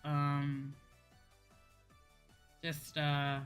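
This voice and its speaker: A woman with a slightly low voice talks casually as a livestream host. She rambles and thinks aloud, often repeating a point as she goes. Faint music plays behind her. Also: completely flat delivery, very slow. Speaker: livestream host and virtual streamer. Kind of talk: casual livestream chat with viewers. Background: background music.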